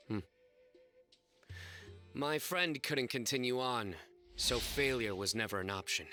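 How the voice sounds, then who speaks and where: An actor playing a stoic, earnest young warrior in a video game, speaking with solemn, theatrical deliberation. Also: male voice, fairly low pitch, pushed and bright. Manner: grave, deliberate, dramatic. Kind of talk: video game character acting. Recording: faint game music behind the voice.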